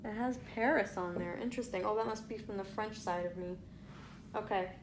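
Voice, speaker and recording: A woman's voice: medium pitch, a little fast, background noise. A young woman vlogging casually, reading results aloud with chatty asides and tripping over place names.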